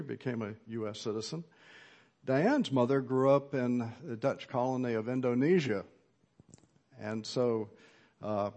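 A middle-aged man gives a sermon in an earnest, measured way. His voice sits at an ordinary pitch for a man. He pauses now and then with small fillers as he explains. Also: darkish tone. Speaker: pastor.

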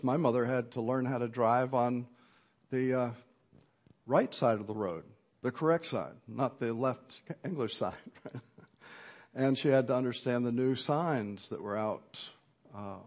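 A middle-aged man gives a sermon in an earnest, measured way. His voice sits at an ordinary pitch for a man. He pauses now and then with small fillers as he explains. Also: a little mellow. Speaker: pastor.

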